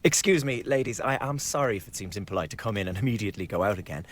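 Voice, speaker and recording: A man's voice: on the low side, animated, rapid-fire. A sneering glib young man. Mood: contemptuous. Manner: sardonic, glib, contemptuous. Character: mocking, cold young man, the returning son. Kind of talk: radio drama acting.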